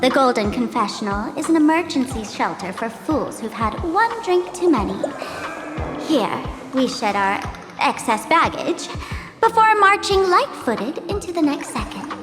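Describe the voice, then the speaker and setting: A woman's voice: sits high, very animated, measured pace. A woman voicing a playful, self-assured young trickster in a video game, with coy, poised delivery. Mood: dramatic, playful. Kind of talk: video game character acting. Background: game music.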